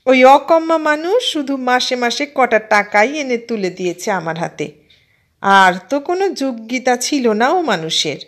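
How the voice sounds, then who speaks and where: A woman's voice: fairly high pitch, lively intonation, a little slow, pitched above the performer's own voice. A woman voicing an older housewife in an audio story, a boastful, complaining aunt who scolds and belittles her husband. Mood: boastful, lecturing. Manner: complaining, self-important, emphatic scolding.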